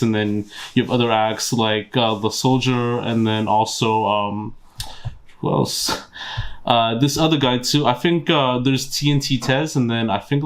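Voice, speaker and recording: Chill guy interviewer, kinda low voice, says like and dope nonstop. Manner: loose, heavy use of "like" and "dope". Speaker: interview host. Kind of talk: casual interview talk.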